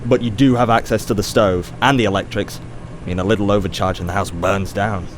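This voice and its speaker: A man's voice: on the low side, fairly even intonation, brisk, background noise, close to an everyday voice. Wry, weary professor played by a man. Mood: probing, weary. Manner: dry, sarcastic, mildly exasperated. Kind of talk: naturalistic audio drama acting.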